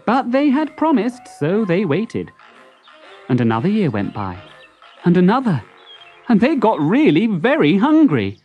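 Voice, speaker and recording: A woman reading a children's story expressively and deliberately, with dramatic pauses. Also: medium pitch, huge pitch swings, highs cut off, faint music behind.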